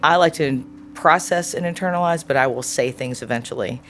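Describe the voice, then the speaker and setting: A woman's voice: low voice, a little fast, fairly bright tone, slight background noise. Earnest, reflective woman in her forties. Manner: earnest, thoughtful, searching for words. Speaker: interviewee. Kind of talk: reflective personal interview answer.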